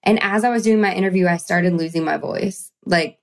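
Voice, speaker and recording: Woman, singer guest, chatty storyteller, low-middle pitch. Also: brisk, gravelly. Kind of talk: casual interview chat.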